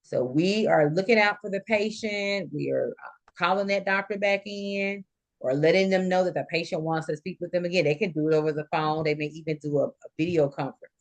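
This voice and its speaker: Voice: medium pitch, a little fast, vocal fry. Nursing instructor with mid-range voice, teaching informally. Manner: explanatory, illustrates points with quoted examples. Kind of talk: informal live lecture to students.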